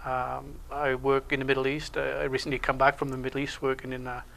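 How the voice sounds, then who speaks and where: Man, Welsh accent, bright tone, relaxed halting talk. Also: mid-range voice, gravelly, a little hiss or hum, low-quality recording. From Wales. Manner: relaxed, hesitant with many uh fillers. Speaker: show co-host and security instructor. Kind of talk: casual interview chat.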